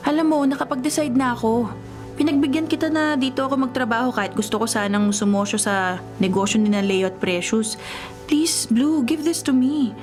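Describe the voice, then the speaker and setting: A woman's voice: high voice, fairly quick, a little mellow, faint music behind, natural voice. An actress playing an exasperated young professional woman, polite to elders, in a radio drama with a Filipino accent. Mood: exasperated, firm. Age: young adult. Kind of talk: Tagalog radio drama acting.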